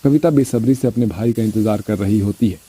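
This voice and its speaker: A man's voice: lowish voice, brisk, mellow, breathy edge. A man reading scripted narration of a family moral story as a storyteller.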